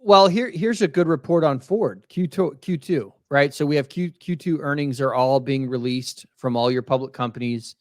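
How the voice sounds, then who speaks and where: A man from Texas co-hosting a casual automotive industry podcast, talking in an animated way with frequent restarts. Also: medium pitch, normal speed, hardly any pauses, no echo, clean studio sound. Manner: animated, many restarts, acts out quoted voices. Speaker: podcast co-host.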